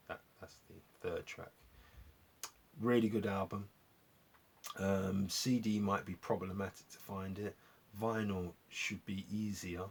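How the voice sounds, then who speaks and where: Deep, husky-voiced man. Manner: rambling, appreciative, with gentlemanly turns of phrase. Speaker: vlog host reviewing records from a collection. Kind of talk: casual album review chat to viewers.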